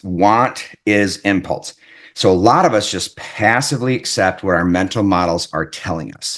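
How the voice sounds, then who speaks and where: A man giving an earnest, reflective self-help talk as an author, often repeating his points and checking in with "right?". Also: sits low. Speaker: author and life coach.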